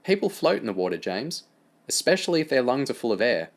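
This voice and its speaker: Man doing a gentle young swimming teacher woman. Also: medium pitch, very animated, quick pace, voice pushed up. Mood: encouraging. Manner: gentle, reassuring. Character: kind, patient young female swimming teacher.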